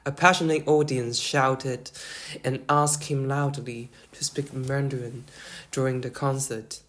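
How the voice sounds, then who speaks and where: A podcast co-host, a man from Macau whose first language is Cantonese, speaking English at a middle pitch. He moves through his points evenly, like someone working from prepared notes. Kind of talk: podcast discussion.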